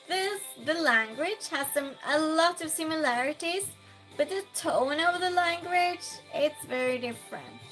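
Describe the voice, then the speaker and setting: A woman's voice: high-pitched, fairly bright tone. A woman with a Norwegian accent, a native Norwegian speaker, chatting casually on a stream and reading out viewer comments. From Norway. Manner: chatty, reads out chat messages and asks would-you-rather questions.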